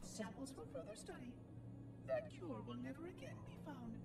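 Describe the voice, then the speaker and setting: A woman's voice: high voice, wildly swooping pitch, normal speed, mellow. A woman playing a lonely, unraveling machine caretaker in a science fiction game, musing to itself in formal, precise phrases. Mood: formal, brooding. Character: lonely, unraveling AI monitor machine guarding an ancient installation. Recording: distant, with music playing behind.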